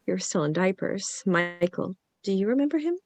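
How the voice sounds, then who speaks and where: A woman's voice: mid-range voice, expressive, normal speed, darkish tone, dry recording, close to an everyday voice. A woman reading the part of a hesitant mother talking to her young sons in a script read-through. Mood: hesitant, coaxing.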